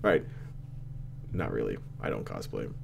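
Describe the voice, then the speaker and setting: A man's voice: very deep voice, sing-song, moderate pace, gravelly, background noise. A man reading a game aloud as a host, with casual narration and slangy, mock-exasperated asides. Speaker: let's play host reading a visual novel aloud. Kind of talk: casual gameplay commentary and read-aloud narration.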